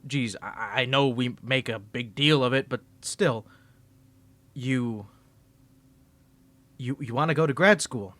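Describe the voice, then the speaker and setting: A man's voice: medium pitch, slow pace, pauses often, fairly bright tone, no echo. A man voicing a flustered young tsundere male student rival in an ASMR roleplay, teasing and defensive, stammering when caught off guard. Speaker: ASMR creator.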